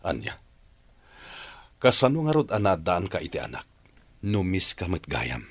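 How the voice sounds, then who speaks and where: A man's voice: low voice, huge pitch swings, measured pace, husky, no room sound, full character voice. An actor playing an impatient, emotional, wealthy father in a radio drama, speaking with commanding urgency. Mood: surprised. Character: impatient, emotional wealthy father searching out the truth about his son. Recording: noisy radio drama track.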